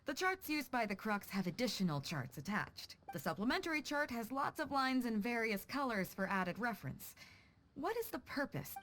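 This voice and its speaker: A woman's voice: fairly high pitch, sing-song, moderate pace, a bit bright, slight background noise. An actress playing a confident, playful woman ship captain in a video game, with a teasing, assured and instructive manner.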